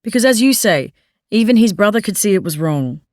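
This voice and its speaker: A woman's voice: mid-range voice, expressive, a little fast, a little mellow, no echo, clean studio sound, voice pushed up, natural voice. A woman reading the lines of a blunt, earnest grown man who turns tender, in an audiobook. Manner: blunt, forthright, then tender.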